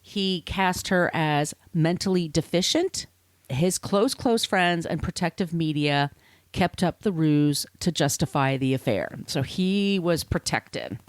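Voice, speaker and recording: Woman podcaster telling stories, slightly low voice. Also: a little slow, no room sound. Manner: informal, explanatory, with asides. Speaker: podcast host. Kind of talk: conversational storytelling of literary history.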